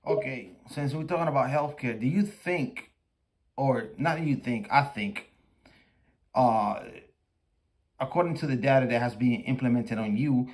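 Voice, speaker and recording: A man with a Spanish accent, hosting a livestream and chatting casually with an AI, halting and full of fillers. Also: mid-range voice, darkish tone. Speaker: livestream host. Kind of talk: casual chat with an AI.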